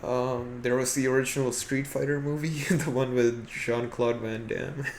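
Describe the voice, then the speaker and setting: A man with a mid-pitched, creaky voice talks casually as a podcast co-host. His delivery is conversational, weighing up films and games. There is some noise in the recording. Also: steady tone, normal speed.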